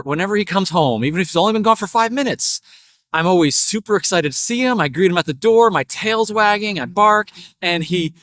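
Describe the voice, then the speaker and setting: A man voicing an excitable, boastful pet dog in a story, talking in an eager, gushing way. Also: on the high side, no room sound.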